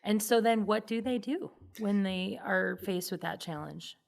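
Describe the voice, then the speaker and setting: A woman's voice: medium pitch, unhurried, gravelly. A woman hosting a conversational interview, agreeing in short affirmations and rephrasing points as she asks questions. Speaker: interview host.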